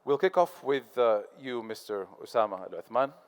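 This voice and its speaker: Moderator, man, smooth scripted delivery, hall reverb tail. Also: medium pitch, expressive, moderate pace. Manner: polished, reads prepared questions. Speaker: panel moderator, podcast host. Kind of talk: scripted moderator introduction and questions. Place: conference hall on stage.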